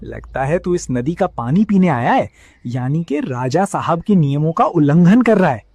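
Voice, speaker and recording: A man's voice: fairly high pitch, big pitch swings, normal speed, darkish tone, slightly rough, no echo, full character voice. A man voicing a threatening, officious wolf guard in a children's cartoon fable. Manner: accusing, threatening. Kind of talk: animated children's fable acting.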